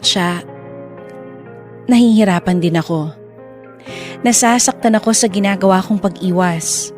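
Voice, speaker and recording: Troubled young narrator, music behind. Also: male voice, high voice. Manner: confessional, earnest. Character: troubled young man confiding his forbidden feelings. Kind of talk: reflective drama narration in Tagalog.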